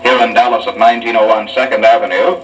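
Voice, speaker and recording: A man's voice: fairly low pitch, little pitch movement, quick pace, a bit bright, recorded from a distance, a little hiss or hum. An American man working as a 1940s radio announcer, on an echoey old disc recording. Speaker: radio announcer and street interviewer.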